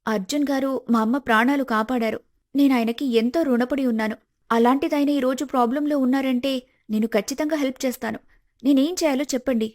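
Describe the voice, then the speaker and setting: A woman voicing a polite, grateful young woman in an animated moral story, respectful and earnest. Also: high-pitched, a little fast, close to an everyday voice. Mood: earnest, helpful.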